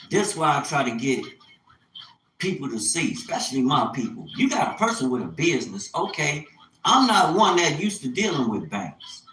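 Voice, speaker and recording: A man in his fifties speaks off the cuff on a livestream in a medium-pitched voice. His talk is colloquial and rambling, circling back to repeat phrases. The room echoes noticeably, with a little noise behind him. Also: a bit bright. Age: 50s. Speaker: livestreamer, small business owner. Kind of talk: casual livestream monologue.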